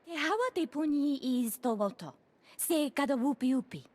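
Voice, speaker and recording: An actress playing an alien in a video game, talking in an invented alien tongue. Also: female voice, high voice, expressive, normal speed, darkish tone. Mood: earnest. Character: female alien speaking an invented gibberish tongue. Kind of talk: video game cutscene acting.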